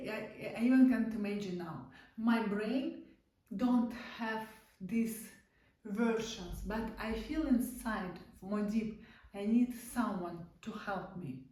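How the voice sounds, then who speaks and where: Russian-accented woman, halting non-native English, casual lesson, very echoey room. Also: mid-range voice, mellow. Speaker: art-therapy instructor. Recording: very echoey room, distant mic.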